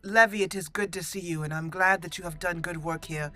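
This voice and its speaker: A woman's voice: lowish voice, steady tone, fairly quick, pushed and bright, dry recording. A woman roleplaying a gracious, formal female elf mage and warden in a fantasy video game. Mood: gracious, pleased.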